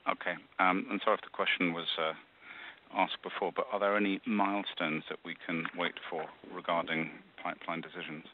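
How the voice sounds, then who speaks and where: A man with a low voice puts his questions to the company in a casual way. He comes through a tinny phone line. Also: flat delivery, fairly quick, a little hiss or hum. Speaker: analyst asking questions. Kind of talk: conference call questions.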